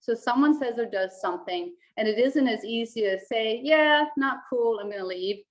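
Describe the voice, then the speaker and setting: A woman, teaching to camera from a small room in a calm, reflective way. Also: fairly high pitch, moderate pace. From Denmark. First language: Danish. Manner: calm, reflective, gestures a lot with her hands. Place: small indoor room with a plain wall.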